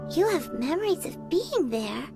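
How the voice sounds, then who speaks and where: A woman voicing a small, childlike fairy guide in a video game, squeaky, perky, chatty and curious, with exaggerated delivery. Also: very animated, normal speed, heavily acted. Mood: explaining. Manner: perky, exaggerated, refers to herself in third person. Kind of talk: animated video game character acting. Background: prominent background music.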